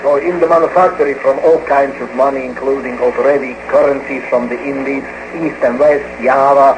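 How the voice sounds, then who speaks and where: An actor playing an excitable Dutch money dealer who speaks halting, mangled English with a thick Dutch accent, in 1940s radio drama style. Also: male voice, medium pitch, close to an everyday voice. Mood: chuckling, eager. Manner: halting, mangled word order, eager. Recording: noisy old 1940s radio broadcast.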